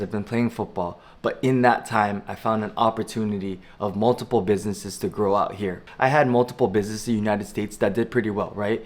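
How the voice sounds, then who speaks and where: A young man from Hawaii vlogging unscripted, rambling earnestly with lots of "you know" and "like". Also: on the low side. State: jet lagged. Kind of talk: unscripted casual vlog talk.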